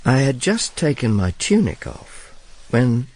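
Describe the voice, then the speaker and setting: A man's voice: medium pitch, sing-song, measured pace, darkish tone. A man playing a world-weary, sardonic Roman informer who narrates and speaks in a radio drama. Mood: dry, wry. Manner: dry, sardonic. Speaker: lead actor and narrator.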